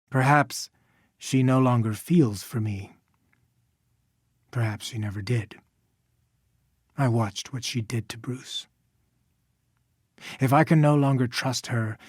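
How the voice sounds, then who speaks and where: A megalomaniac male scientist villain from a video game, bitter and dying. He speaks slowly in a mid-pitched voice, weighing out each phrase with theatrical grandeur. His fanaticism is cold and absolute, and the sound is dry and close. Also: a little mellow, dry recording. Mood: fervent conviction, then wounded, cold. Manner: grandiose, deliberate, theatrical monologuing.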